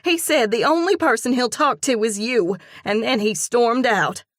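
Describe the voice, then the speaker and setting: A woman voicing an excitable, outraged young woman friend who talks in an indignant rush. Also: no echo, studio quality, close to an everyday voice. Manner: rushed, indignant, high-pitched.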